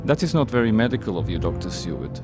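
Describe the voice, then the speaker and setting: A man's voice: fairly low pitch, big pitch swings, quick pace. An actor playing a suave, menacing male vampire count, formal and taunting, in an audio drama. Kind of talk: theatrical audio drama acting. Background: music.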